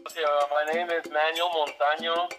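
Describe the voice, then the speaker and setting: A man from California speaks in an interview with a bright, slightly raised and rather flat voice. He stops and starts, repeating words and filling gaps with "you know", while faint music plays behind him. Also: a little high, brisk. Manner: hesitant, repeats himself, many fillers. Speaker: interviewee, branch manager. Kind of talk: casual interview answers.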